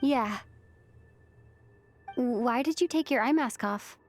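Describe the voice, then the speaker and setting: A woman's voice: on the high side, takes it slowly. A woman voicing an earnest, thoughtful young female traveler in a video game cutscene, with a sincere, pensive delivery. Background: background music.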